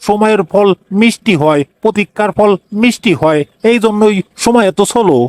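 A man voicing a flattering, obsequious henchman in a comic cartoon, with a Bangladeshi regional Bengali accent. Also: sits high, moderate pace, natural voice. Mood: fawning. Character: fawning, flattering male henchman of a cartoon villain.

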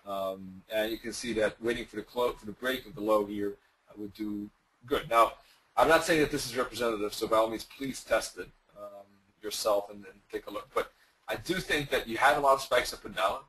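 A man's voice: fairly low pitch, a little fast, a bit bright, poor audio. Man with a strained, fading voice. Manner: loose, conversational, with many fillers and restarts. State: losing his voice, clearing throat. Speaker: trading webinar presenter. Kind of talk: screen-share webinar explanation.

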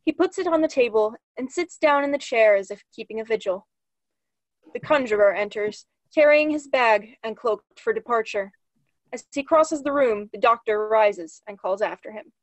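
A woman's voice: on the high side, moderate pace, no room sound, low-quality recording. A young woman reading stage directions as scripted narration for a play. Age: young adult. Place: small room at home, video call.

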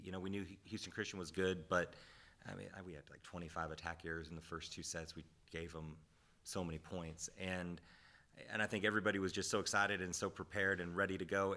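A man in his forties, a head volleyball coach, answering reporters' questions in a postgame press conference at a desk mic, measured and reflective with frequent restarts. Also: low-pitched, pushed and bright. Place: press room with sponsor backdrop. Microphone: desk microphone.

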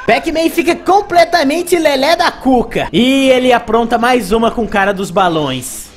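A man's voice: very high-pitched, animated, moderate pace, faint music behind. A young man with a Brazilian accent giving casual narration for a video game review. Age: young adult.

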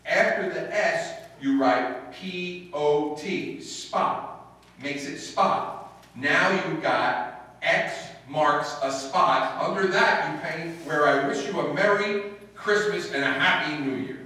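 A man's voice: fairly high pitch, takes it slowly, pushed and bright. A man playing a wry, exasperated, penny-pinching husband in an old-time radio comedy, given to stammering protests. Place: stage in a meeting hall before an audience. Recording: echoey hall, far from microphone.

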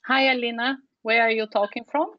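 A woman's voice: on the high side, fairly even intonation, a little fast, no echo. A woman moderating a webinar, announcing in a friendly, straightforward way over a remote call microphone. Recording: dull, dry call audio.

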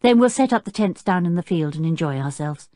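A woman voicing a gentle young girl who speaks earnestly. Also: lowish voice, animated, fairly quick, no room sound, clean studio sound, lightly acted.

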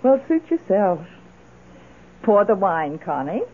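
A well-off lady of the house giving brisk, commanding orders in an old radio drama. Her intonation moves up and down freely. The recording is narrow and tinny, with light hiss. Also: mid-range voice, very animated. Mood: brisk, dismissive.

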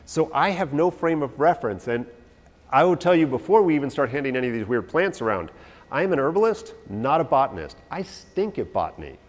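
Brief: forties male herbalist, chatty self-deprecating lecturer, mid-pitched, echoing hall from afar. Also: fairly quick. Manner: animated, anecdotal, self-deprecating, gesturing while talking. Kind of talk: informal talk to a live audience. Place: large hall with a stage, indoors. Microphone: no visible mic, far camera. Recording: hall reverb from far off.

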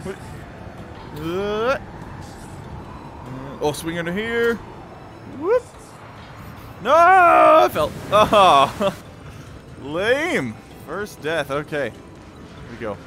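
High-pitched, animated man gaming. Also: drawn-out words, pauses often, slight background noise. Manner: exclamatory, short self-directed bursts. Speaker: gamer playing and commenting. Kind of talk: excited live gameplay commentary. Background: game music.

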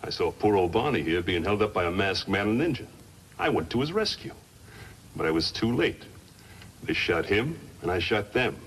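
A menacing gunslinging henchman with a deep voice, sly and threatening in old radio western fashion. The vintage recording is faintly noisy. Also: animated, moderate pace, a bit bright. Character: lying, menacing male outlaw henchman with a gun. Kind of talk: 1950s western radio acting.

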